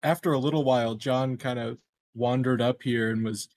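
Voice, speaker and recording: A man playing a tabletop game, chatting casually in a halting way with repeated words. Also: mid-range voice, fairly even intonation, darkish tone. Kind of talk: casual chat.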